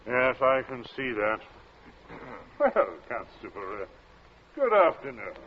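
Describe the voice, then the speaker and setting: An actor playing a genial, smoothly dissembling middle-aged gentleman in an old radio drama, hemming affably. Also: medium pitch, big pitch swings, slow. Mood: blustering, breezy. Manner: affable, hemming, affectedly casual. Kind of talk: golden age radio drama acting. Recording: dull, hissy old radio recording.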